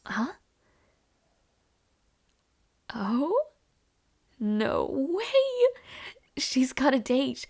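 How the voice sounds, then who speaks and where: A young woman acting in an intimate audio roleplay as a warm, shy, playful university student, with a hesitant, giggly, softly teasing way of talking. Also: high-pitched, heavily acted. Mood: excited.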